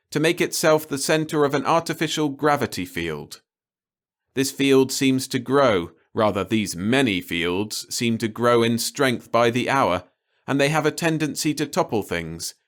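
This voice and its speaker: A man's voice: mid-range voice, fairly bright tone, voice pushed up, lightly acted. A man voicing a self-important scientist in a story, lecturing on television in a hesitant, pedantic, self-correcting way.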